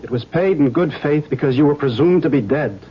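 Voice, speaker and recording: An upright, worried middle-aged banker in a vintage radio play. His voice is a bit high and husky, with proper, reasoning diction, on a muffled recording. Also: animated, brisk. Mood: tense, reasoning. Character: anxious, upright bank executive and family man. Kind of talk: old-time radio drama acting. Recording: dull lo-fi old radio recording.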